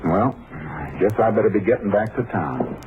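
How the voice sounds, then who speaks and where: An actor playing a steady, shrewd American Texas Ranger in a 1950s radio drama, on a thin vintage recording. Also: male voice, on the low side, moderate pace, narrow, tinny audio, heavy background noise, lightly acted. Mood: calm, probing.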